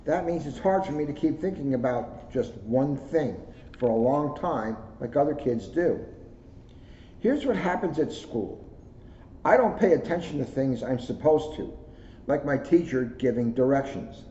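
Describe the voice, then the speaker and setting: Mellow-voiced man in an echoey room. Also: mid-range voice, animated, unhurried. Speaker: police officer reading a children's book aloud. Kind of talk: read-aloud storytelling with casual asides. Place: indoors, second floor of a borough hall. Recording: roomy echo, dull lo-fi sound, clear noise.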